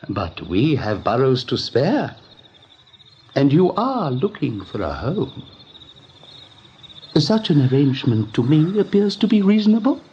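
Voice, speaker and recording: A man's voice: on the high side, very animated, a little slow, pauses often, darkish tone. A man playing an urbane, over-polite, slyly welcoming rabbit in an eighties radio drama, with languid, affected manners. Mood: affable, evasive. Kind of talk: 1980s radio drama acting. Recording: dull, faintly noisy old radio tape.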